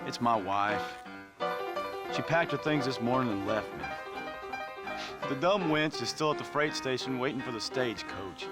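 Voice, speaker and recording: Guy doing shifty saloon musician, western game, medium voice, sardonic, loud guitar behind him. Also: mid-range voice, lively intonation, moderate pace, pauses often, close to an everyday voice. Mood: sly, sardonic. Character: sly, grasping male saloon musician, sardonic. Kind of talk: video game western acting.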